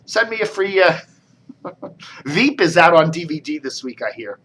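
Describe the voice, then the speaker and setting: American male vlogger, slightly high voice, loose off-the-cuff media commentary, wry edge. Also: sing-song, measured pace, a bit bright, slightly rough. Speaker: video blogger and media commentator. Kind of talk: casual rambling commentary.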